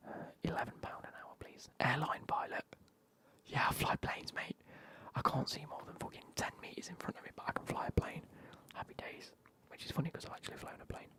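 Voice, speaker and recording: A man, whispering as he banters with his live stream chat and swears now and then.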